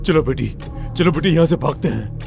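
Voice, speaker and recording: Frantic, high-voiced bus driver, played by a man. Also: lively intonation, a little fast, vocal fry, music in the background. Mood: urgent. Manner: agitated, exclaiming. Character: frantic, superstitious bus driver dreading ghosts. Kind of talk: animated horror story acting.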